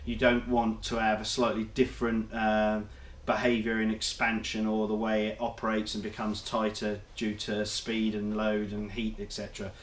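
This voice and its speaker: Man, stuffy nasal voice from a cold, flat steady delivery, roomy workshop echo. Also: fairly low pitch, normal speed, few pauses. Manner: methodical, explanatory, with fillers. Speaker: motorcycle engine builder. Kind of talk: workshop how-to vlog.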